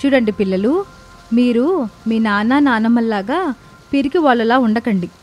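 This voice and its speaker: A woman playing a brave, determined young mother in an animated moral story, speaking firmly and earnestly. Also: a little high, normal speed, soft background music, natural voice. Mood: firm lecturing. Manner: firm, earnest, lecturing.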